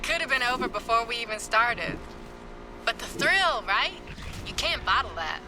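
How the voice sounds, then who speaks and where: An actress playing a cocky young street racer and gearhead in a game cutscene, bantering in a wry, teasing way. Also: female voice, fairly high pitch, animated, moderate pace, lightly acted, low-quality recording. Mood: nostalgic, playful. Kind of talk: naturalistic game cutscene dialogue. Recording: music behind the voice.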